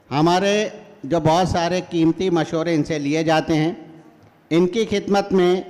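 A man's voice: a little high, darkish tone. An older man acting as emcee at a school celebration, introducing guests in a formal, courteous tone at a podium mic. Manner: measured, courteous, reads from notes. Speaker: event emcee. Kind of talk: formal Urdu stage announcing. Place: large hall, at a podium. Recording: reverberant hall.

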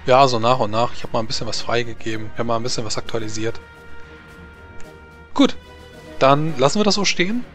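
A man leads a tabletop game as game master, walking his players through the rules in an even, measured way. Faint music plays in the background. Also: medium pitch. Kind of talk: running a tabletop strategy game over voice chat.

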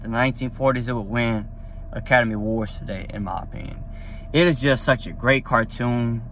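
A man's voice: medium pitch, fairly bright tone, a little hiss or hum. A young man talking casually to a phone camera from a parked car, rambling and enthusiastic with lots of fillers. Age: young adult. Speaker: vlogger. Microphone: phone at arm's length.

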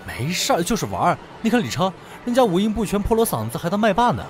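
A man's voice: high voice, sing-song, quick pace. A man playing a cocky, playful male student in an amateur audio drama, teasing as he talks. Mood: casual coaxing. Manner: teasing, swings from murmurs to loud calls. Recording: music behind the voice.

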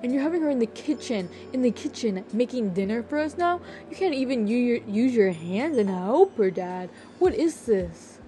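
A woman's voice: fairly high pitch, lively intonation, moderate pace, hardly any pauses, mellow, slight background noise, natural voice. A woman playing an earnest, exasperated teenage son, pleading emphatically in an amateur game roleplay. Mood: protesting.

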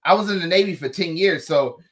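A young man hosting a casual interview on a video call, relaxed and encouraging. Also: high-pitched, a little fast, husky. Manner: relaxed, encouraging, short responses. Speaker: host.

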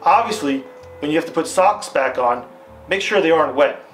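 A man acts out an upbeat, authoritative army captain in a retro training film. His American voice sits a bit high and is formal and didactic, with guitar music playing behind it. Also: animated, normal speed, a bit bright, poor audio. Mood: instructive, assured. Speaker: instructor in a military training film. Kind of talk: scripted retro instructional film delivery. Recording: music under the voice. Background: background guitar music.

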